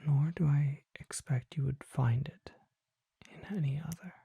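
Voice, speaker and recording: A performer voicing a mysterious, courtly vampire apothecary in a breathy, formal ASMR whisper, close to the microphone. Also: medium pitch, no echo, exaggerated voice. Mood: hushed, reverent. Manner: slow, formal, deliberate, hushed.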